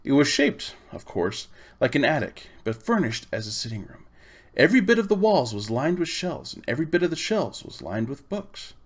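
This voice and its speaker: A man reading a children's storybook aloud in expressive, measured phrases. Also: on the high side, husky. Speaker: audiobook reader. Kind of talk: storybook narration.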